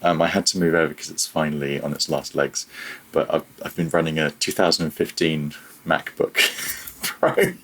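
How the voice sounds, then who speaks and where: Very low-voiced man, slightly husky. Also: fairly even intonation, normal speed, fairly bright tone. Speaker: guest, freelance audio software developer. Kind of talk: casual technical interview chat.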